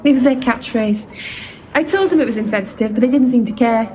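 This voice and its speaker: A woman's voice: a little high, brisk, a little mellow, slight background noise, close to an everyday voice, low-quality recording. An actress playing a long-suffering office colleague telling her story dryly and matter-of-factly in an audio drama. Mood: weary, dry. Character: long-suffering female office colleague recounting past events.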